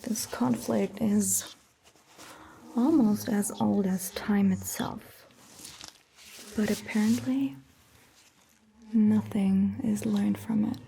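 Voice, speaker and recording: A caring vampire doctor played by a woman whispers slowly and soothingly in an ASMR roleplay. Her voice is breathy and mellow, with a crackly creak at the edges. She speaks gently, as if tending to a patient up close. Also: medium pitch, steady tone. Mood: calm, soothing. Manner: slow, soothing, hushed, gentle. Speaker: ASMR performer.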